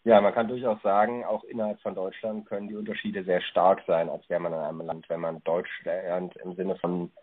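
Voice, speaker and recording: A man hosting a podcast, formally interviewing a guest and reading out prepared questions in a polite, measured manner. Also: low voice, flat delivery, husky, phone-quality sound.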